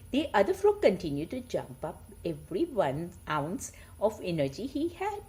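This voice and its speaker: A woman with an Indian accent, sharing moral stories in an informal, animated way. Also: medium pitch. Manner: chuckling, animated, informal asides. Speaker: storyteller and host. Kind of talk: casual chat mixed with read-aloud moral tales.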